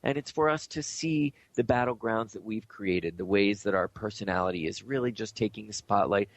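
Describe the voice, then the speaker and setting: A man's voice: on the low side, moderate pace. A man hosting a conversational spiritual talk show, speaking in an informal, earnest and reflective way. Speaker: radio show host. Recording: dull lo-fi audio.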